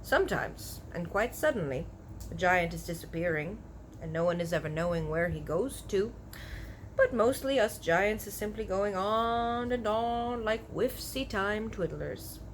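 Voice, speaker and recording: A woman's voice: medium pitch, lively intonation, slight background noise, natural voice, low-quality recording. Woman voicing a blustery old storybook giant, emphatic. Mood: earnest. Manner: mangled grammar, emphatic drawn-out words. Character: very old male giant, blustery, earnest, muddled speech.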